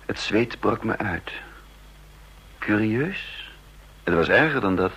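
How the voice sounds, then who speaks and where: A man's voice: fairly low pitch, expressive, takes it slowly, fairly bright tone, slightly rough, exaggerated voice. Een man die in een hoorspel een onrustige geheim agent speelt, die aarzelend en piekerend zijn eigen raadsel vertelt, op een oude radio-opname. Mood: troubled, brooding. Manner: brooding, hesitant, self-questioning. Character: uneasy male secret agent narrating his own puzzle. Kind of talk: radio drama acting, first-person narration. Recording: dull lo-fi old radio recording.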